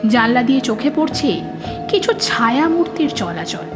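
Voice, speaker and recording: A woman narrator reading a scripted horror story in an expressive, suspenseful, dramatic storytelling manner. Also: fairly high pitch, normal speed, loud music behind the voice.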